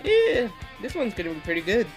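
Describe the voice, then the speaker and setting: A man's voice: high-pitched, huge pitch swings, brisk. A man losing his voice, hosting a casual reaction video and chatting through explanations. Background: video audio and music playing.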